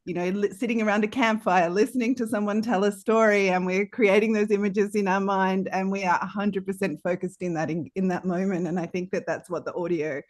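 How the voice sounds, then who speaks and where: A woman with a medium-pitched voice talks in an informal panel conversation. She sounds like a podcaster thinking aloud, reflective and conversational. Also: steady tone, brisk, hardly any pauses. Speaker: podcaster and interviewer.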